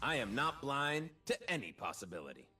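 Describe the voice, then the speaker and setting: A man's voice: medium pitch, big pitch swings, moderate pace, bright, forceful tone, slightly rough, faint music behind, heavily acted. A man voicing a cocky, carefree bird-man performer in a video game, with a showy, swaggering, exclamatory delivery. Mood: upbeat, playful. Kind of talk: video game character voice lines.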